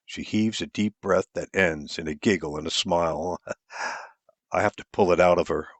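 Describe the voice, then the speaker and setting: Male audiobook narrator, lowish voice, first-person romance storytelling, close dry recording. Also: moderate pace, no room sound.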